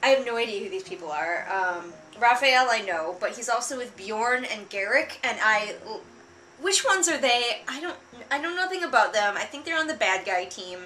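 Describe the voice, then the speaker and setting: A woman's voice: fairly high pitch, sharp tone, slight background noise. A woman giving casual, sarcastic commentary, with an exasperated, mocking and emphatic way of talking. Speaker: book reviewer and vlogger.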